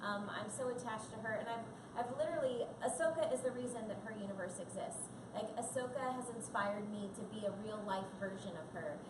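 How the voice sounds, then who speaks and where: A woman's voice: medium pitch, a little fast, few pauses. A woman voice actress talking as a guest on a live panel in a shop, earnest and chatty with many fillers. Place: coffee and comics shop event. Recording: echoey room, distant mic, crowd noise.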